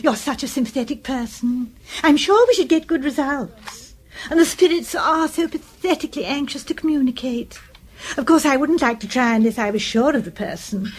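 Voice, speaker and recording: An actress playing an earnest, gullible British spinster nurse-companion in a radio drama, pious and gushing in manner. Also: female voice, high voice, expressive, moderate pace. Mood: eager, coaxing.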